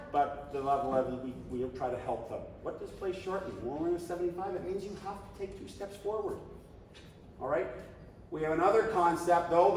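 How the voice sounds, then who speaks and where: A man's voice: fairly high pitch, slight background noise. Man coaching in an echoey hall. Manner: animated, conversational, shouts coaching calls. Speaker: flag football coach. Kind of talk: coaching clinic talk. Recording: echoey hall, distant mic.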